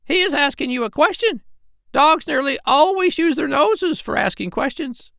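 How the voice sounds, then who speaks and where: A man voicing a bossy, clever female parrot in a shrill, high voice for a children's storybook. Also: lively intonation, moderate pace, creaky, bright, forceful tone, no room sound, exaggerated voice. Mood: instructive. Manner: emphatic, instructing.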